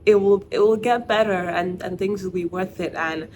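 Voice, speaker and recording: A young woman from Nigeria talks through her recent life in a casual vlog. Her voice sits a bit low, and she wanders thoughtfully with frequent "like"s. Clear background noise runs under the track. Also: moderate pace. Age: young adult. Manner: chatty, reflective, peppered with "like". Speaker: vlogger. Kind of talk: casual talking-head vlog.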